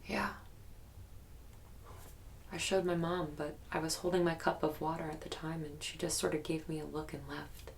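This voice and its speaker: An actress playing an earnest young woman recounting frightening memories in a halting, guarded, matter-of-fact interview style for an audio drama. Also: low-pitched, level tone, a little hiss or hum. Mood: haunted, insistent. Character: haunted, earnest young woman recalling childhood terror.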